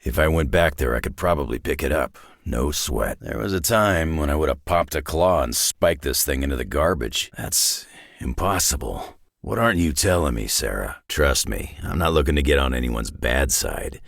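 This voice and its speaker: A man voicing a gruff, cynical, battle-worn mutant brawler in a video game, with a gravelly, husky growl and a terse, dry tough-guy drawl. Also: very deep voice, animated, moderate pace, a bit bright, no echo, full character voice. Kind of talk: video game character acting.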